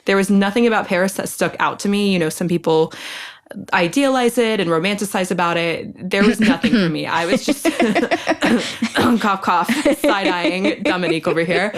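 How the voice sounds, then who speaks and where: A young woman from Ohio, a podcast guest, chatting in a relaxed way with dry humor. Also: mid-range voice, moderate pace. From Ohio, USA. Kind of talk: casual interview chat.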